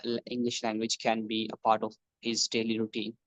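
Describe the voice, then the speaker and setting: A man's voice: on the low side, completely flat delivery, fairly quick, fairly bright tone, dry recording, poor audio. A young man from Pakistan, a computer science student, speaking English as a learner in a casual practice conversation, hesitant with many fillers and restarts. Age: young adult.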